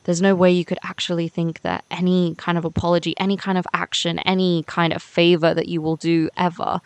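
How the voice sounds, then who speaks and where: A woman's voice: lowish voice, little pitch movement, a little fast, smooth, no echo, lightly acted. A young woman playing a hurt, unforgiving teenage schoolgirl in an amateur voiced game series, speaking in an emphatic conversational way. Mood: hurt, accusing.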